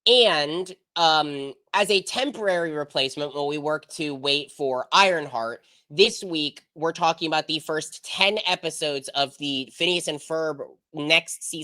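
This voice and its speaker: A man's voice: fairly high pitch, pushed and bright, no echo. A young adult American man hosting a podcast in casual chat, his voice congested from being sick. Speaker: podcast host.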